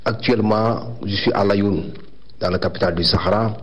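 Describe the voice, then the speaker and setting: Low-voiced man, muffled audio. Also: fairly even intonation, moderate pace, breathy edge. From Senegal. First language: Wolof. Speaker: migrant rights activist. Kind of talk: personal introduction. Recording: muffled lo-fi recording.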